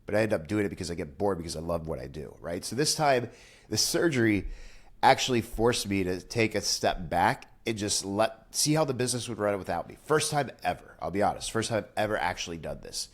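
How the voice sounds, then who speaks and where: A man's voice: fairly low pitch, lively intonation, brisk. A young man with a congested, nasal voice, talking casually and candidly to camera about his business, with frequent fillers and restarts. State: nasal, congested after nose surgery. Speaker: business owner vlogger. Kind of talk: casual direct-to-camera talk.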